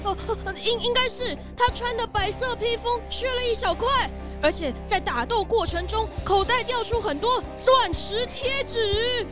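A tiny, flustered officer with an extremely high, squeaky voice. The delivery is alarmed and cartoonish, like a children's radio play, with music clearly behind. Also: female voice, moderate pace. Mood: nervous. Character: flustered, panicky little police officer.

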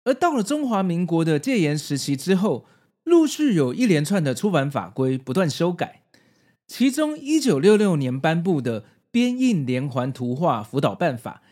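A man's voice: a little high, sing-song, normal speed, a little mellow. A man from Taiwan with a Taiwanese Mandarin accent hosting a podcast in prepared narration. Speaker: podcast host.

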